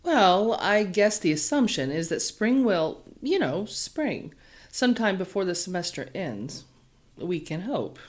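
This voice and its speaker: A woman's voice: mid-range voice, big pitch swings, a little slow. An actress playing a friendly young female college student from Texas with a Texan accent, talking in a chatty, hesitant way.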